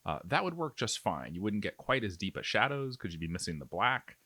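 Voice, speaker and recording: A man's voice: on the low side, very animated, a little fast, sharp tone, no echo. A man giving a casual, explanatory step-by-step tutorial voice-over in an informal way. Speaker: miniature painting tutorial host.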